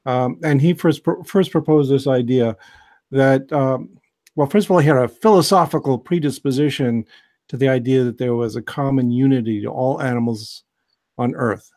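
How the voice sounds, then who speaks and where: An American man giving a relaxed, digressive science lecture on a video call, with many fillers and restarts. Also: mid-range voice, moderate pace. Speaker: host, biology lecturer.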